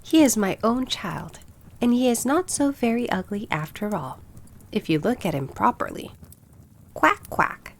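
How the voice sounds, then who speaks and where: A woman's voice: on the high side, sing-song, a little slow, full character voice. A woman voicing a fond, proud and bossy mother duck in a storybook, with a fussy, instructive manner. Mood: appraising, proud. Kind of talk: storybook character voice.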